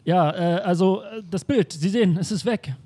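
A man's voice: high voice, normal speed, warm tone, husky. A man acting a fussy, urgent museum director in an improvised audio drama.

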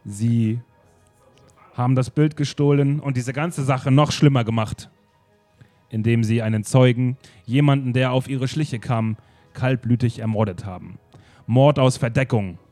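A man acting a detective in an improvised radio play, calmly laying out the case. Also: mid-range voice, unhurried, faint music behind. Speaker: improv actor playing the detective.

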